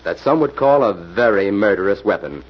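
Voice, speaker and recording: A composed, confident detective played by a man, lowish in pitch with lively inflection. It is 1940s radio drama on a muffled old recording. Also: moderate pace, loud music behind the voice, natural voice. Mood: composed, wry.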